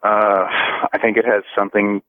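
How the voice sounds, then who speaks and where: Man, radio caller, low-pitched, halting and earnest, narrow telephone audio. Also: little pitch movement, no room sound. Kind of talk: earnest call-in conversation. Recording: narrow phone-line sound.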